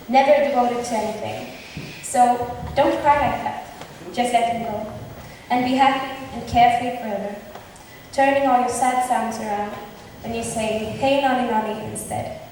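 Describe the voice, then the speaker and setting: A woman's voice: a little high, a little mellow, close to an everyday voice. A woman acting male roles in an amateur school production of a modernized Shakespeare comedy. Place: large echoey indoor hall. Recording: very echoey hall from far off, hissy.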